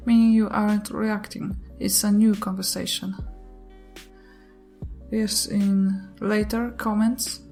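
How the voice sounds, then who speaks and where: A woman whose first language is not English, reading online posts and comments aloud in a steady way, with brief chatty asides. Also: medium pitch, gravelly. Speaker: YouTuber reading Reddit posts.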